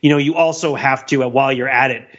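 A man's voice: medium pitch, steady tone, quick pace, fairly bright tone, highs cut off. A man co-hosting a podcast in casual discussion, talking in a wordy, intellectual way and often checking in with "right?". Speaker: podcast co-host.

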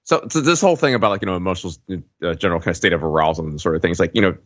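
A man's voice: deep voice, sing-song, rapid-fire, fairly bright tone, no room sound, lo-fi sound. A man co-hosting a podcast, chatting casually and putting questions to the others. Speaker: podcast co-host. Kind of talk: casual discussion, posing questions.

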